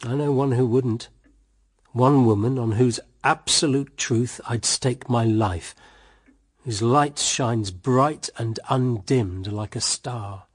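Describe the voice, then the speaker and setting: A man's voice: mid-range voice, takes it slowly. An actor playing a stern, possessive, idealistic married man in a period radio drama, speaking in an emphatic, moralizing way. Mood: tender, devoted.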